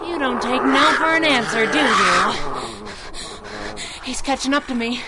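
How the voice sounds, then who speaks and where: A woman's voice: high-pitched, animated, measured pace. A woman playing a plucky, sassy young masked sidekick in radio adventure style, tossing out teasing quips, with noisy effects behind her. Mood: breezy, excited.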